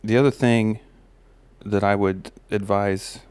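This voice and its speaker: A man, a parent, sharing life advice in a relaxed personal monologue, with a slight gravel in his voice and frequent pauses and restarts. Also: on the low side, no room sound. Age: adult parent of a daughter. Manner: relaxed, musing, many fillers and restarts. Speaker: podcast host.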